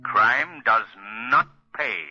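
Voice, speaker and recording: An actor playing a sinister, mocking avenger with a husky voice in 1940s radio style. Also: male voice, medium pitch, very slow. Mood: ominous, grim. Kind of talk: 1940s radio acting, ominous proclamation. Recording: dull 1940s radio recording, music behind.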